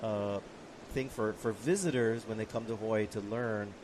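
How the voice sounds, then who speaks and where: A man's voice: on the low side, fairly even intonation, normal speed, noisy background. A man commentating on a surf contest, reflective and talkative, a father. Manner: reflective, storytelling. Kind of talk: live sports commentary.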